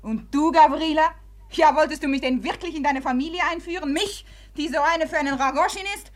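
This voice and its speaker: Woman acting a high-voiced, proud, fiery society lady. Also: brisk, natural voice. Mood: bitter, scornful. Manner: imperious, theatrical. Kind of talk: 1950s German radio play acting.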